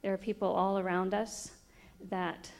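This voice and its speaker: A middle-aged woman who grew up in Brazil, telling a lively anecdote to a church group as a missionary speaker. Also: mid-range voice, a little slow, vocal fry. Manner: animated anecdote, chuckling as she tells it.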